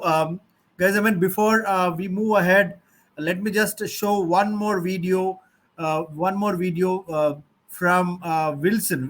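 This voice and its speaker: A man's voice: fairly high pitch, fairly bright tone. A man hosting a live online webinar, talking with many fillers and restarts. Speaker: webinar host.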